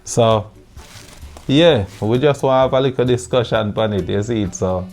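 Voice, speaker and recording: A Jamaican man hosting a podcast in relaxed patois, often adding "you see it". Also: mid-range voice, moderate pace, soft background music. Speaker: podcast host. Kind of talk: casual chat.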